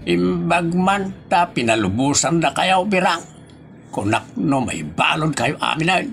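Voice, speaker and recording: A man playing a gravelly, grumbling father. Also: a little high, very animated, unhurried, over music, full character voice. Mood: scolding. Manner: scolding, teasing. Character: grumbling middle-aged husband and father. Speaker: voice actor in an audio drama. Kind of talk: comedic audio drama acting.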